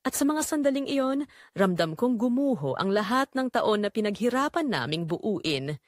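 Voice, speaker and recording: A woman's voice: a little high, sing-song, normal speed, no echo, studio quality. A woman narrating a scripted first-person audio drama in an emotive, sorrowful storytelling manner.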